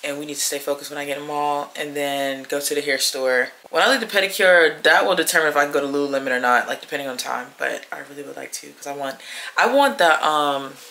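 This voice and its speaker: A woman's voice: low voice, fast talker, sharp tone. A woman in her twenties chatting informally to camera in a casual vlog style. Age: 20s. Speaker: vlogger.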